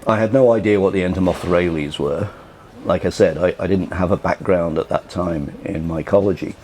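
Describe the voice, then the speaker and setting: An older man from England, recalling his career in a relaxed, rambling way. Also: low voice, brisk, a little hiss or hum. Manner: relaxed, rambling, frequent "you know" and restarts. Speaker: interviewee. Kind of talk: casual recollection.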